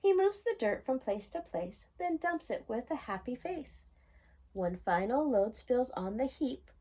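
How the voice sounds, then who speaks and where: Slow, mellow woman reading a bedtime story. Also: on the high side, big pitch swings, breathy edge. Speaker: children's storytime reader. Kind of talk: read-aloud children's bedtime picture book narration.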